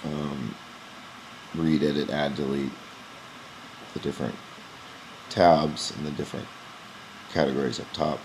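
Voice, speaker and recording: A young man who runs a gym, walking through a software screen recording in a casual, explanatory way with frequent "um" pauses, recorded on a webcam at his desk. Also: rumbling low, steady tone, background noise. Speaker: gym owner demonstrating software. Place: small indoor room at a computer. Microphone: webcam in front of the face.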